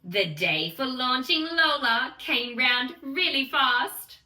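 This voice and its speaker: A very bright, high-voiced woman reads a children's bedtime story at an unhurried pace. Her delivery is theatrical and sing-song, addressed straight to little listeners, in an echoey room. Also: expressive. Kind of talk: expressive bedtime story read-aloud for small children. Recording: roomy echo, distant microphone.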